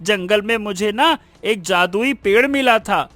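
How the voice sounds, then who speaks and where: A man voicing an excitable, stubborn poor village schoolboy in a Hindi cartoon story, exclaiming and repeating words emphatically. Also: high voice, unhurried, no echo, soft background music. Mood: boastful, dismissive.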